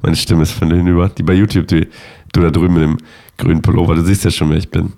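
A man in his thirties, sick and hoarse, chatting casually and joking as co-host of a podcast. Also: low voice, little pitch movement, quick pace, warm tone. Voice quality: raspy, gravelly. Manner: joking, rambling. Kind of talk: casual chat.

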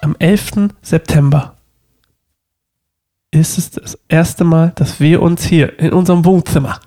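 A man's voice: a little high, steady tone, a little slow, warm tone. A young man with a stuffy cold, co-hosting a casual podcast from his living room, playful and rambling. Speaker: podcast co-host. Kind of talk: casual couple podcast chat.

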